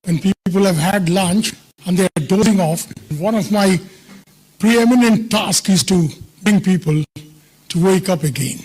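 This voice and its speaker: A man's voice: sits high, a little slow, darkish tone, breathy edge, poor audio. An older Indian man with an Indian English accent giving an emphatic, self-assured conference speech with chatty asides, his voice slightly hindered by a tooth infection. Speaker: conference speaker. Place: conference hall.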